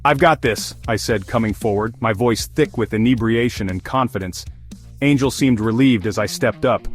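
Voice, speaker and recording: A man's voice: on the low side, fairly even intonation, normal speed. A man narrating a first-person comedic fantasy story as a scripted audio series. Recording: music behind the voice.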